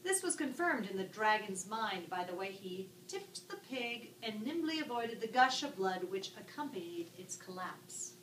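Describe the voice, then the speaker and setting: Bright, echoey woman story narrator. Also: medium pitch, very animated, normal speed, few pauses. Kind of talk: dramatic scripted story narration. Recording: echoey room, distant mic, background noise.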